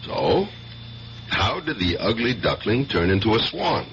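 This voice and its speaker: Casting: low, wry, inquisitive detective for 1970s radio drama; muffled broadcast recording. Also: male voice, big pitch swings, measured pace, a bit bright, a little hiss or hum. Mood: probing. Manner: wry, probing, conversational. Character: wry, probing male police detective sergeant.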